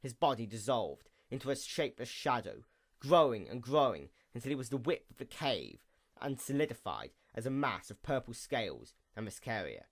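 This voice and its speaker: A man reads a dramatic scripted story in a solemn, expressive manner. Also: medium pitch, moderate pace. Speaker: audiobook-style fan fiction narrator.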